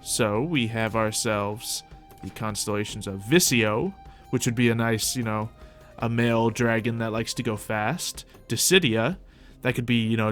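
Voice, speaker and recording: A young man hosting a modded game roleplay, chatting casually as he plays and talks to his pet dragon, with soft music behind him. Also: fairly low pitch. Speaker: roleplay gameplay host. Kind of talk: casual gameplay roleplay commentary.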